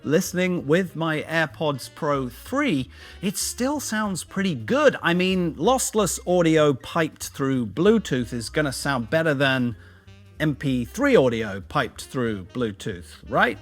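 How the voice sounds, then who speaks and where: A man's voice: on the high side, big pitch swings, slow pace, faint music behind. A British man hosting a casual tech review vlog, chatty and self-deprecating, with jokey, slangy asides. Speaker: YouTube video host.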